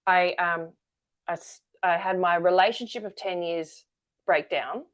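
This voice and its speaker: A woman's voice: fairly low pitch, unhurried. A woman, a guest telling her story candidly in an interview. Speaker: guest, energy-work founder. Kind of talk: conversational interview.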